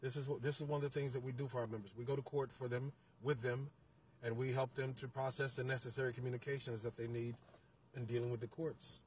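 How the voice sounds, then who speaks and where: A middle-aged man, an interview guest, explaining his organization's process in a measured way from the passenger seat of a car, recorded on a phone. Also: mid-range voice, level tone, a little mellow, a little hiss or hum, rough recording. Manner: measured, explanatory, some halting restarts. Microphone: phone camera held by the interviewer.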